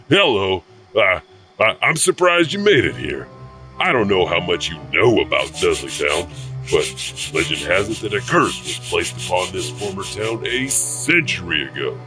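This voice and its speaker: An actor playing a creepy caretaker who hesitantly and theatrically hosts a horror anthology of ghost tales. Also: male voice, lowish voice, distant mic. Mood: nervous, amused. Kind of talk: horror anthology host narration.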